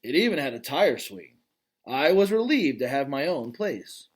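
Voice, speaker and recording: A man reading a children's novel aloud as its gentle, thoughtful male gorilla narrator, in a calm, reflective way. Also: mid-range voice, normal speed. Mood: wistful.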